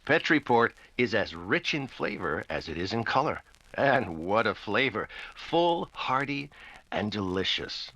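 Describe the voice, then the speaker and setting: An actor playing a hearty, courteous 1940s radio sponsor announcer with polished, emphatic salesmanship. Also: male voice, mid-range voice, pushed and bright, dry recording, exaggerated voice. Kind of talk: 1940s radio advertising patter.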